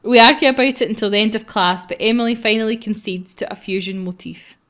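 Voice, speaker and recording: A woman's voice: mid-range voice, fast talker, a bit bright. A woman hosting a gaming video, reading a visual novel aloud and breaking into casual banter.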